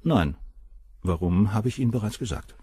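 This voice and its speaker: An actor playing a haughty, self-assured gentleman who answers questioning in a condescending, matter-of-fact way in a radio crime drama. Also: on the low side, lively intonation, normal speed, slightly rough, dry recording, clean studio sound.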